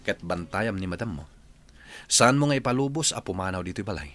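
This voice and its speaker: An actor playing a jealous, domineering husband who bosses and scolds in a radio comedy drama. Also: male voice, sits low, lively intonation, fairly quick, fairly bright tone, faint music behind. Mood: stern, angry. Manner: bossy, scolding, demanding. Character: domineering, jealous husband and businessman, harsh household master.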